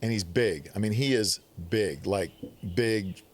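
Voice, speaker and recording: A man from the Midwest, telling stories on a podcast in a casual, animated way, acting out quotes. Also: fairly low pitch, measured pace, a little hiss or hum. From Upper Midwest. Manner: animated storyteller, acts out quotes. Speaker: podcast guest. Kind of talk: casual storytelling.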